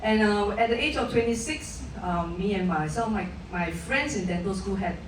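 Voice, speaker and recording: A woman's voice: mid-range voice, animated, moderate pace. Earnest middle-aged woman telling her story on stage, lots of restarts, noisy roomy sound. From Malaysia. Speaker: guest speaker. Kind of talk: personal story at a live event. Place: event hall. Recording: distant echoey hall mic, noisy.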